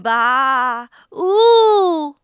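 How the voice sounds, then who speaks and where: A woman voicing a loud, indignant male elephant in a children's story, booming and insistent. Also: very animated, takes it slowly, no echo, clean studio sound, exaggerated voice. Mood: trumpeting.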